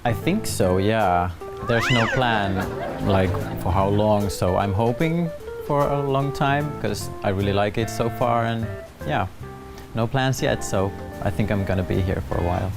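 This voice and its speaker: A man's voice: fairly low pitch, a little slow, gravelly. A man in his thirties with a Finnish accent, answering casually and expressively as an interview guest. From Finland. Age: 30s. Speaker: talk show guest. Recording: guitar music under the talk.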